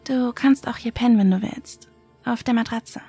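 An actress playing a wary, indignant young female survival contestant in a horror audio drama, with a breathy voice. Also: on the high side, normal speed, dark tone, no room sound, faint music behind. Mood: sober, measured.

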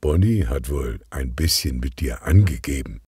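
A man voicing a friendly, caring mother in a children's audiobook, gentle and conversational. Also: rumbling low, expressive, normal speed, breathy edge, no echo, clean studio sound, pitched below the performer's own voice, full character voice. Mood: chatty, caring.

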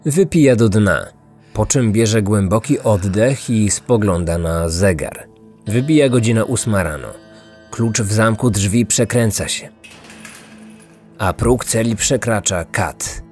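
A man hosting a podcast, reading scripted true-crime narration like a measured storyteller. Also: lowish voice, a little mellow. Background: faint music.

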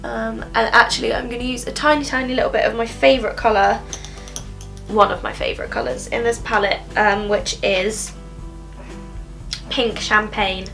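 A woman's voice: fairly high pitch, steady tone, moderate pace, vocal fry, a bit bright, soft background music. A young woman chatting through a makeup tutorial in her bedroom, rambling casually with lots of "um", a little nasal from a cold. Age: young adult. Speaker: beauty vlogger. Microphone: camera in front at close range.

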